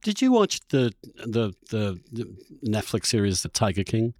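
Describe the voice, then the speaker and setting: A male podcast host in animated interview conversation, talking discursively and emphatically, building his points in repeated phrases. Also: on the low side, normal speed, no room sound, clean studio sound.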